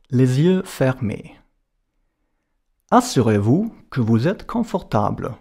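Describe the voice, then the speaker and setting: A man guiding a relaxation as a yoga and meditation teacher, giving measured instructions in short, separated phrases. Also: medium pitch, warm tone. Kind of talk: guided relaxation narration.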